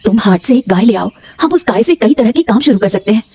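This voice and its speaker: A woman's voice: on the high side, animated, fast talker, warm tone, husky. A woman voicing a supportive, hopeful village wife in a dramatic Hindi cartoon story. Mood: reassuring.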